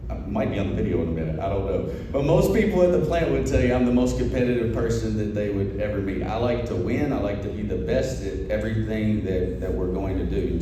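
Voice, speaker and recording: Low-voiced Kentucky man in an echoey room. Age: 40s. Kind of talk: personal presentation to an audience. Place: large echoey presentation room. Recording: very echoey room, distant, noisy.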